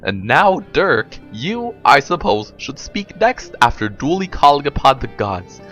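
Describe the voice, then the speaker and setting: A man reads as a stately, pompous arbiter in a mock-philosophical story. He speaks in formal rhetoric with animated intonation. The voice is bright, over faint music. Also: mid-range voice, unhurried, dull audio. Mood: solemn.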